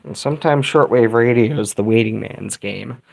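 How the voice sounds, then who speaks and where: A man casually chatting while tuning a shortwave radio, reading viewer comments and thinking aloud in a relaxed, rambling way. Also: medium pitch, moderate pace, gravelly, husky.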